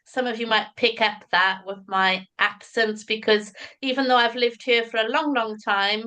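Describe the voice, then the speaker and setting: A woman's voice: on the high side, steady tone, moderate pace, bright, forceful tone. A middle-aged woman from Wales with a Welsh accent, chatty and enthusiastic, presenting a teachers' webinar. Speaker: materials writer and teacher educator.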